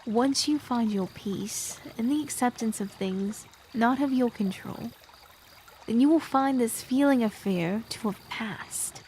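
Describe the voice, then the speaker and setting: An actress playing a reserved young woman who speaks in a calm, measured, formal way. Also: fairly high pitch, slight background noise, lightly acted. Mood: calm, consoling. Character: reserved young woman, later a composed queen. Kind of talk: audio drama acting.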